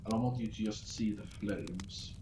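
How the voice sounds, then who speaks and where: A man chatting casually on a live stream, rambling and haltingly thinking aloud. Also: on the low side, steady tone. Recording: roomy echo, some background noise.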